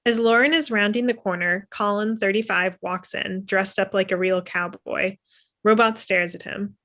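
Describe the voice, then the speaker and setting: Mid-pitched woman reading stage directions. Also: steady tone, normal speed, a bit bright. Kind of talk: scripted narration at a table read. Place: indoor room on a video call.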